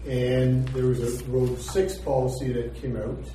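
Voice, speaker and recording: A man's voice: mid-range voice, level tone, a little slow, dark tone. A man chairing a township council meeting, explaining procedure and the agenda item by item. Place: council chamber. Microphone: table microphone. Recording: echoey room from far off, faint noise.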